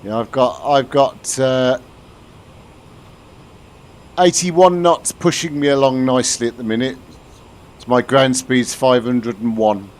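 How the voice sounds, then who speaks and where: A British man talks through a live flight sim stream, answering his chat in a relaxed, friendly way. He often restarts his sentences while explaining the cockpit. His voice sits at an ordinary, mid-range pitch. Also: a little slow, pauses often. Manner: chatty, answers viewers, frequent restarts.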